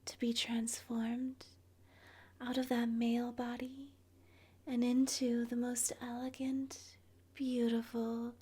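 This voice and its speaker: A woman softly narrating an ASMR guided meditation in a breathy, whispery voice, gentle and soothing, leaving long pauses. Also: a little high, level tone.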